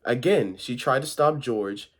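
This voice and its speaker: A man's voice: mid-range voice, unhurried. A man reading a children's story aloud as a volunteer, informal and occasionally stumbling over his words.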